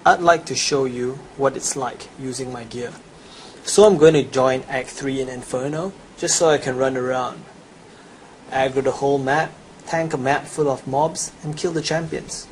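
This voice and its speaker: A man's voice: medium pitch, steady tone, moderate pace. A young adult man giving a scripted promotional voice-over as a gamer presenting his guide. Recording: clearly noisy home recording.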